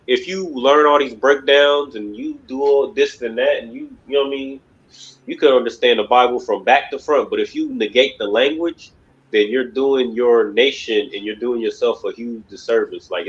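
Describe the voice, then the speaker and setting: A man's voice: mid-range voice, highs cut off. A man leading a religious class lecture, talking emphatically and rambling, with constant repeated fillers. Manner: rambling, emphatic, repeats "you know what I mean". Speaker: class instructor.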